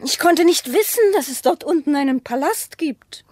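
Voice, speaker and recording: An actress playing a distrustful, sharp-tongued wife in a radio play, speaking precisely and sceptically. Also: female voice, sits high, lively intonation, normal speed, no echo. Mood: biting. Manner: pointed, sceptical, precise diction.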